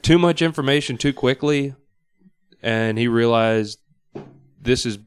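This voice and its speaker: A man's voice: medium pitch, measured pace, clear, resonant tone. A man on a podcast panel, talking informally and explaining things through examples. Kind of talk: casual panel discussion. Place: studio.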